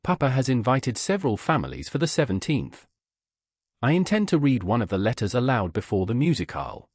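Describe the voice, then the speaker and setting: A man's voice: mid-range voice, very animated, a little fast, a little mellow, husky, dry recording, clean studio sound. Man voicing a smug, spiteful society lady. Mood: mocking.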